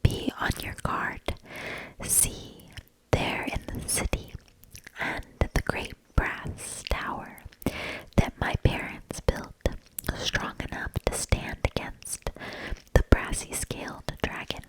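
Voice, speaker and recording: A woman voicing a watchful young fairy-tale lady from a storybook, in a breathy whisper. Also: takes it slowly, lightly acted, rough recording. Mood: watchful, earnest.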